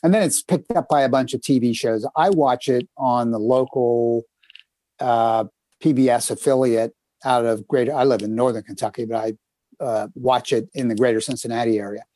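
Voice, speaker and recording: A man's voice: medium pitch, steady tone, moderate pace, warm tone, no echo. Chatty man with a dry close voice. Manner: chatty storyteller, many fillers, friendly plugs. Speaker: co-host. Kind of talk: casual podcast chat. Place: home in northern Kentucky.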